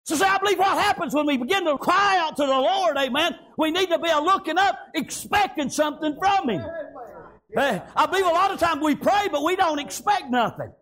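A man's voice: very high voice, expressive, brisk, bright, forceful tone. A man preaching a fervent revivalist sermon, impassioned and exhorting, punctuating phrases with amen and praise God.

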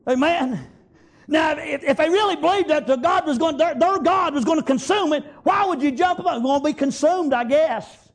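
A man preaches in a very high-pitched voice with a Southern US accent. His delivery is fervent and unscripted, moving between Bible reading and homespun retelling, punctuated with folksy exclamations. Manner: folksy, exclamatory, repeats praise God and amen as punctuation.

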